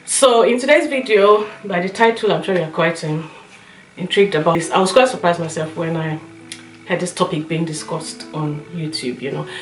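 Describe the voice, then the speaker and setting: A woman with a slightly hoarse voice, chatting casually as a vlogger giving her opinions and telling anecdotes. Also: fairly low pitch. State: slightly hoarse, recovering from a cough. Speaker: YouTube lifestyle and beauty vlogger. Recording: roomy echo, light music underneath.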